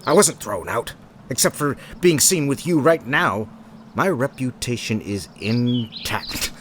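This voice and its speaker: An actor playing a proud, sarcastic former police chief in a radio drama, with a dry delivery. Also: male voice, mid-range voice, lively intonation, unhurried, background noise, natural voice. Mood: scornful. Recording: outdoor ambience with birdsong.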